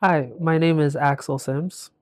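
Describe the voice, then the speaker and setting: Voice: mid-range voice, fairly even intonation, measured pace, darkish tone, no echo. A person speaking casually and a little hesitantly in a documentary interview.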